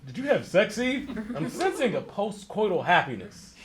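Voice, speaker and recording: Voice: medium pitch, big pitch swings, normal speed, slight background noise, natural voice. An actor playing a blunt, teasing bar co-worker in a live comedy table read.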